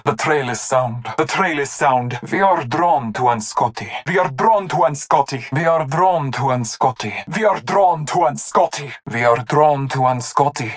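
An actor playing a solemn, devout masked hunter and tracker in a video game, speaking gravely with formal, measured phrasing. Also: mid-range voice, natural voice. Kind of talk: video game character callouts.

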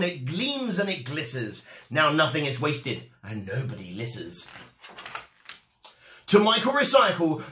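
Animated, high-pitched young man telling stories. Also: reverberant. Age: young adult. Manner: expressive, emphatic storyteller delivery. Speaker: storytime host. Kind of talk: reading a rhyming children's picture book aloud. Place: bright room at home by a window.

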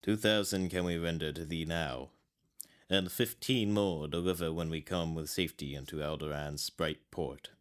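Old knight male actor, bass register, grave and measured, for an audio verse drama. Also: fairly even intonation, natural voice. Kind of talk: amateur Shakespearean dramatic reading.

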